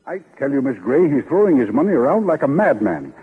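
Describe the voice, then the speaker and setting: An actor playing an anxious, exasperated business manager in a 1930s radio comedy, on a muffled, reverberant old recording. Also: male voice, mid-range voice, sing-song, a little fast, husky, faint music behind. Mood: fretful. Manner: fretful, agitated.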